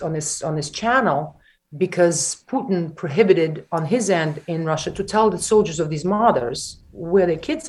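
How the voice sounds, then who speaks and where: A woman from Ukraine talks through a conversational interview in a low voice. She speaks haltingly, restarting often, then presses her points with emphasis. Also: darkish tone. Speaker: interview guest.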